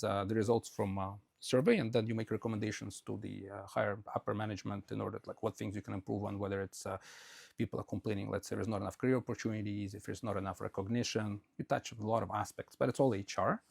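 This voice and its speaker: Brief: Russian-born male podcast guest, quick rambling delivery, gravelly low voice, dry close sound. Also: little pitch movement, a little mellow, no room sound. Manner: rambling, many fillers and restarts. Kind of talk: conversational interview answers. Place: Quebec, Canada.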